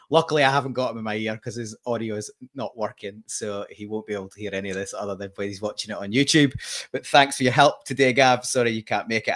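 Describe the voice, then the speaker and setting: A man's voice: medium pitch, a bit bright. A man in his forties hosting a fan podcast over a video call, chatty and jokey, with plenty of ums. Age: 40s. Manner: chatty, jokey, lots of fillers. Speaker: podcast host. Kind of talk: casual chat.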